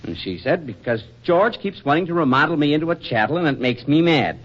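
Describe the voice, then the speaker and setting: An actor playing an affectionate, teasing, excitable husband in a 1940s radio comedy, with a folksy manner. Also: male voice, a little high, brisk. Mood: animated storytelling. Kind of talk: 1940s radio drama acting. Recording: dull vintage radio drama recording.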